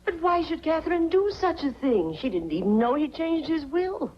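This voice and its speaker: An outspoken, loyal defender in a 1940s mystery film. Her voice is high and earnest, on a muffled soundtrack with faint noise. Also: very animated, moderate pace. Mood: protesting. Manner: earnest, protesting. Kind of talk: 1940s film noir acting.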